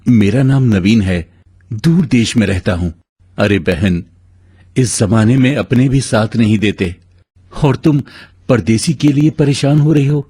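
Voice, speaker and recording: A man voicing a kind, mysterious stranger who is earnest and reassuring, in an animated moral story. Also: mid-range voice, a little slow, slightly rough, dry recording, studio quality. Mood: weary, grateful. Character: kind, mysterious male stranger turned devoted adoptive brother.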